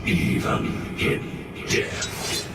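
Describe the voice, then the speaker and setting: An actor playing a menacing undead wraith lord in a video game, speaking in an ominous, drawn-out way. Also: male voice. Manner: slow, ominous. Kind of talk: video game cutscene acting. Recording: distant, echoing voice under loud music.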